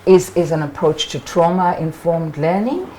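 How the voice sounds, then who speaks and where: A woman giving a seminar lecture in short, measured phrases, pausing for her interpreter. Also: on the low side, mellow, slight background noise. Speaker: guest lecturer.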